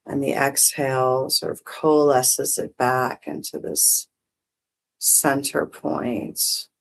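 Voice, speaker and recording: A meditation teacher guiding breath and visualization in short, gently permissive phrases with long pauses. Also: mid-range voice, gravelly, slight background noise. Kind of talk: guided meditation, unhurried instruction.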